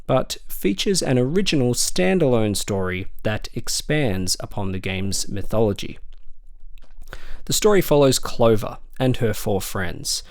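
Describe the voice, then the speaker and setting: A man's voice: mid-range voice, no room sound. Male film reviewer, wry and dry, emphatic asides, close dry sound. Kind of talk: semi-scripted video review to camera.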